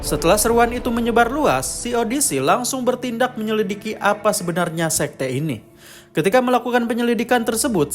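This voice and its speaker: A man narrating a scripted explainer of a horror story. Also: high voice. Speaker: narrator of a film lore channel.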